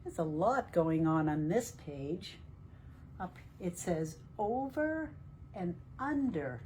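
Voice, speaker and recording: A woman reading a picture book aloud to young children in a deliberate, teacherly way, asking questions as she goes. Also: fairly low pitch, sing-song, a little mellow, slight background noise. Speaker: children's storytime reader at a library.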